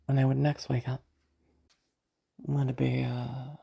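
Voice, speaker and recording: A woman playing a cold, wry captive in an intimate audio roleplay, musing in a deadpan, hesitant way. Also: rumbling low, a little slow, vocal fry, breathy edge, heavily acted. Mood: musing, detached.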